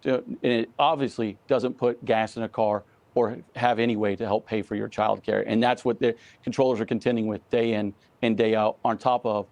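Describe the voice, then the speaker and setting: A man speaking as a union spokesman in a news interview, earnest, measured and emphatic. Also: fairly low pitch, fairly even intonation, darkish tone, no echo. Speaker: union president, interview guest. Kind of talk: live news interview answers.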